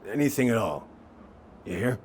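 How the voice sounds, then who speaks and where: An actor playing a kindly, awkward older man in a video game, halting and rambling as he speaks. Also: medium pitch, very animated, a little mellow, slight background noise, lightly acted. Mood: wistful, awkward. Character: kindly, awkward, wistful older family friend named Sam. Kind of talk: naturalistic video game acting.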